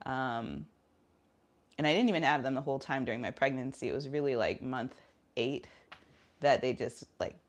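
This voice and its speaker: A woman chatting casually in a vlog, low on energy and nasal from a cold, rambling with lots of fillers and talking through squats. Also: moderate pace, pauses often. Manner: talking while doing squats. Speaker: vlogger. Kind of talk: casual chat vlog, streaming live.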